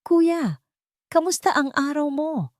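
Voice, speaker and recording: A woman's voice: sits high, lively intonation, slow pace, darkish tone, no echo, studio quality, voice pushed up. A woman voicing a chirpy, pushy, meddling younger sister in a dramatic story. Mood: chirpy, nagging.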